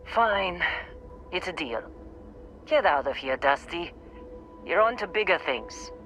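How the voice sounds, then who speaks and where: An actress playing a gruff, short-tempered woman mining boss in a video game, blunt and exasperated. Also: lowish voice, very animated, slow pace, a bit bright, soft background music, heavily acted. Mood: grudging, resigned. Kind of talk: video game cutscene acting.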